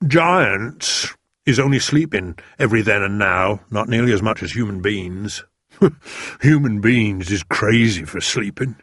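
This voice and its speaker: A man voicing a big, kindly, excitable male giant who mangles his words. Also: on the low side, a little slow, no echo, clean studio sound. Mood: chatty. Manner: jumbled words, broad rustic lilt.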